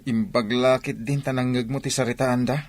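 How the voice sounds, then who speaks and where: A man's voice: mid-range voice, steady tone, normal speed, lightly acted. A man with a Filipino accent playing an earnest, worried young student in love in a radio drama. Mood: worried, friendly.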